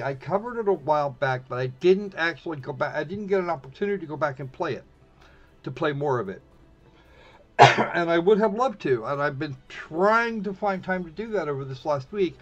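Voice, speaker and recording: A man with a fairly high voice chats casually through a game stream, circling back over his words. The mic sounds a bit dull and lo-fi, and music plays behind him. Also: lively intonation. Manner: rambling, halting and repeating himself, swears casually. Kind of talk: casual live-stream commentary while setting up a visual novel. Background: background music.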